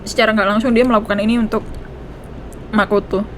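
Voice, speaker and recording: A woman's voice: mid-range voice, little pitch movement, fast, a little hiss or hum. A woman with an Indonesian accent giving casual let's play commentary, repeating herself and switching between two languages. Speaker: game streamer.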